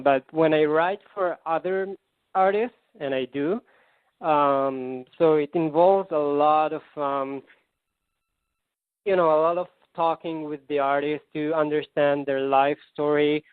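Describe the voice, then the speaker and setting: A man from Israel with an Israeli accent, a singer-songwriter answering interview questions in halting English with many fillers and restarts; Hebrew is his first language. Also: on the high side, clear, resonant tone, no room sound. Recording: tinny phone line, dry.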